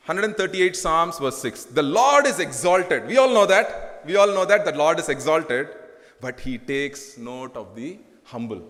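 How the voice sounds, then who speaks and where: A man with an Indian English accent preaches in a high, ringing voice that carries a long echo through a church hall. He talks fervently, circling back over his phrases and swelling into emphatic peaks. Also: a bit bright. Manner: fervent, repetitive, rising to emphatic bursts. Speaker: pastor. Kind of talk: sermon.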